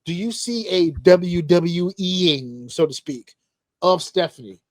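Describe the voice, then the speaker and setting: A man's voice: high voice, takes it slowly, dry recording. A man hosting a casual wrestling panel chat, joking crudely with his guests. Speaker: podcast host.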